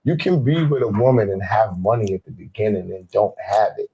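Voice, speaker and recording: A man's voice: on the low side, fairly quick, vocal fry, dark tone, slightly rough, dull audio. A man from Queens, arguing emphatically on a panel and swearing freely. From South Jamaica, Queens, New York. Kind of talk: heated casual panel debate.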